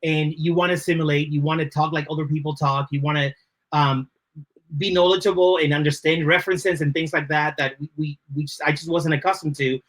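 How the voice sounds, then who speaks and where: A man's voice: a little high, steady tone, fairly quick, a bit bright. A man from the Dominican Republic, a native Spanish speaker with a Dominican accent, answering interview questions thoughtfully and often checking in with "right?". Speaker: podcast guest, creative leader.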